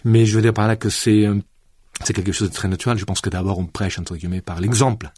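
A deep-voiced man with a southern French accent talks reflectively as a radio guest. His voice is husky and close to the mic in a dry studio. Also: fairly even intonation, fast, a little mellow, dry recording. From southern France. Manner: reflective, many hein and absolument. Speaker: guest, student centre director. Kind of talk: radio interview.

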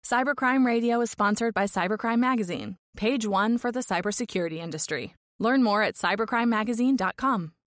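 A woman's voice: a little high, brisk, hardly any pauses. A woman announcer reading a scripted sponsor message.

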